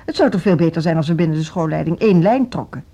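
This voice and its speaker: An actress playing a firm, defensive music-school leader in a radio drama, speaking formally and articulately. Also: female voice, deep voice, lively intonation, quick pace, warm tone, husky, full character voice. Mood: defensive, reproachful. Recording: dull, noisy old radio recording.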